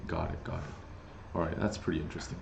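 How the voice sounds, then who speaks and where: A man hosting a casual interview chat, relaxed and full of small acknowledgments. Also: sits low, fast talker, vocal fry, reverberant. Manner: relaxed, many filler acknowledgments. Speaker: host.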